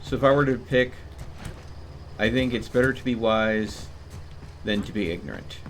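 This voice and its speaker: A man's voice: medium pitch, normal speed, a little hiss or hum. A man giving casual live commentary as he streams a game, musing to himself as he goes.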